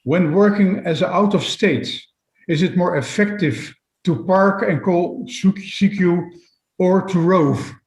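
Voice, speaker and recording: Male webinar moderator, slightly high voice, halting read-outs of audience questions, noticeably echoey room. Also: darkish tone. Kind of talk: reading out audience questions and comments. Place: indoors, online webinar.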